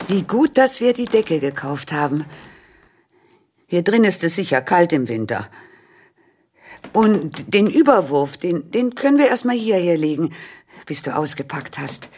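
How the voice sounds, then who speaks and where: A woman's voice: on the low side, big pitch swings, unhurried, pauses often, a little mellow, husky, full character voice. An actress playing a practical, fussing mother in radio drama dialogue. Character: practical, fussing mother settling her daughter into lodgings.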